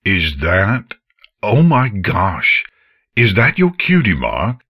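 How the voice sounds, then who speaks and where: A man's voice: lowish voice, sing-song, unhurried, a bit bright, no room sound, studio quality, heavily acted. A man voicing a grieving working stallion father who speaks in ragged, emotional outbursts. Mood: amazed.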